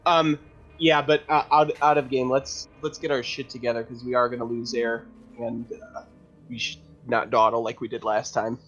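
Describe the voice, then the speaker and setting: A man with a bright voice of ordinary pitch talks casually as a player in a tabletop game. Faint music runs behind him. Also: flat delivery, moderate pace, highs cut off.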